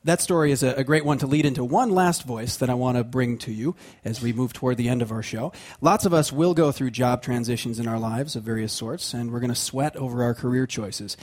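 A man's voice: medium pitch, brisk, hardly any pauses, a little mellow. A male radio host speaking in a wry, conversational scripted style. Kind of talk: scripted radio hosting with songs.

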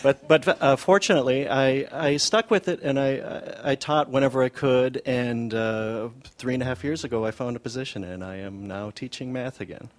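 A man who teaches math, answering in a halting, casual way with repeated words. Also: mid-range voice.

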